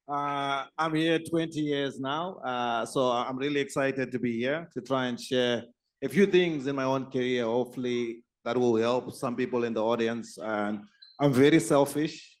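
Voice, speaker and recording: Older male panelist, dry humor, mid-pitched, halting delivery. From Zimbabwe. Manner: warm-humored, self-deprecating, with hesitant uhs.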